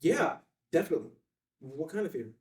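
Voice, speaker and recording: An actor playing an eager, awkward young office assistant in a table read, hesitant and rambling. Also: male voice, on the high side, expressive, fairly quick, close to an everyday voice. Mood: nervous, eager. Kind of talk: filmed screenplay table read. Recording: echoey room, distant mic.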